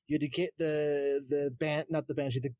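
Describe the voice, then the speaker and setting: Higher-voiced man in casual gaming chat. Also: little pitch movement, a little fast, darkish tone, no room sound. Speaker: podcast co-host.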